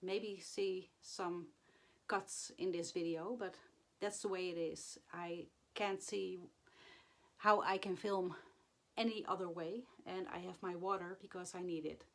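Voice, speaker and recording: A woman, hoarse and still getting over the flu, chatting to camera about her stitching projects, halting often with fillers. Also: on the low side, fairly even intonation. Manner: halting, many fillers and pauses. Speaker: flosstube vlogger. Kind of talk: casual chat to camera.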